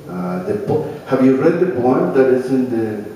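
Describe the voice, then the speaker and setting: A man's voice: medium pitch, lively intonation, dark tone, a little hiss or hum. An older man from Chile, a native Spanish speaker with a Chilean accent, hesitantly introducing himself and then reading his poetry at an open mic. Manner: hesitant, halting with many fillers. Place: cafe open mic, roomy. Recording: echoey cafe from far off, with crowd.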